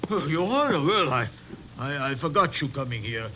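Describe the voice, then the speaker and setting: Flustered, lively-pitched Dutch sea captain. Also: male voice, normal speed, a little hiss or hum. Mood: uneasy, reluctant. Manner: hesitant, broken English, repeats himself. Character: bumbling Dutch cargo ship captain, reluctant and flustered. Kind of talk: old-time radio drama acting. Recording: vintage radio recording with music.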